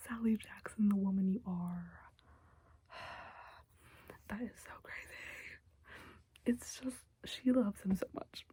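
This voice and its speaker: A young woman giving a casual, chatty recap of a TV episode in a soft whisper, close to the mic, with lots of fillers. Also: mid-range voice, takes it slowly, long pauses.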